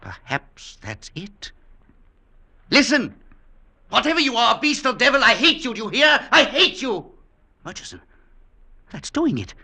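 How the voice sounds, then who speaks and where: An actor playing a terrified old professor haunted by an unseen presence, in a vintage radio drama. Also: male voice, very high voice, huge pitch swings, unhurried, creaky, pauses often, breathy edge, full character voice. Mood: frantic. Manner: fearful, breaking into frantic shouts. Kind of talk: 1940s radio acting.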